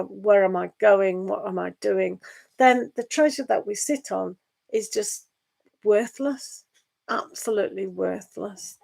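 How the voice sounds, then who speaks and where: A woman with a hoarse, gravelly voice reads tarot live for an online audience. Her speech meanders gently from one card text to the next, and her pitch sits in a middle range for a woman. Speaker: tarot reader.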